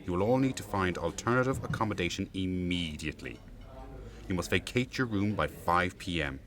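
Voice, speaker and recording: A man's voice: low voice, animated, a little fast, fairly bright tone. A man playing a haughty, officious hotel manager in a radio drama, scolding in a pompous, formal, disdainful way. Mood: disdainful, stern. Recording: busy crowd chatter behind.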